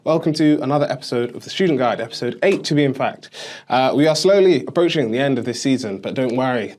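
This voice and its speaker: A man's voice: mid-range voice, a little mellow. A man hosting a student podcast, welcoming listeners and asking questions in an upbeat way with frequent "uh" fillers. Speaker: podcast host.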